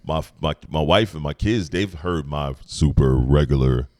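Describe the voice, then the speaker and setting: A man in his thirties with a deep voice, hosting a podcast in relaxed, agreeable casual chat. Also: normal speed, no echo. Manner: relaxed, agreeable, frequent "mm-hmm" and "right". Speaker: podcast host.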